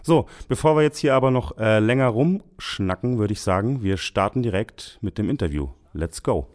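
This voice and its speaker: A man's voice: on the low side, animated, normal speed, a little mellow. A man presenting a show intro in chummy, praising banter with a friend.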